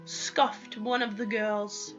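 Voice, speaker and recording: A woman's voice: medium pitch, level tone, slow pace. A young woman giving a dramatic first-person reading of a story, on a lo-fi recording with music behind. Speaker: reader of own story.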